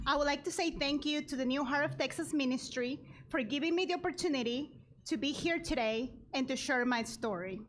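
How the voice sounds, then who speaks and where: A woman, giving an earnest, measured personal speech at a gala. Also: high voice. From Ecuador. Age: 30s. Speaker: guest speaker.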